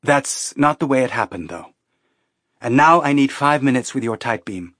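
A man voicing a calm, dignified woman on the run aboard a spaceship, measured and earnest, in an audiobook. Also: mid-range voice, no echo, studio quality. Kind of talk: audiobook character acting.

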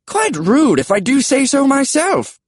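A man's voice: extremely high pitch, wildly swooping pitch, a little slow, no room sound, studio quality, voice pushed up, full character voice. A man voicing a polite, scholarly pterodactyl with a British accent and a prim manner for a storybook. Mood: offended. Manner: courteous, prim. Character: polite, scholarly male pterodactyl, mildly put out.

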